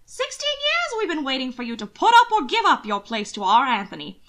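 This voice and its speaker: A woman voicing a complaining, elderly aristocratic aunt in an audiobook story, loud and drawn out. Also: high voice, wildly swooping pitch, fairly quick, voice pushed up, exaggerated voice. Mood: complaining, indignant.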